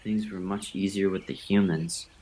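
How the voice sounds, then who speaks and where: A man's voice: low voice, fairly even intonation, normal speed, warm tone. A man voicing a naive young mouse in an audio drama, polite, hesitant and full of questions. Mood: unsure. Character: naive young male pet-raised mouse, polite and unsure. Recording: faint outdoor ambience with birdsong.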